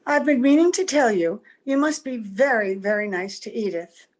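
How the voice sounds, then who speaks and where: A woman's voice: a little high, lively intonation, moderate pace. A woman playing an anxious, affectionate young-looking wife in an old-time radio play. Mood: tender, teasing. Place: home, over a video call.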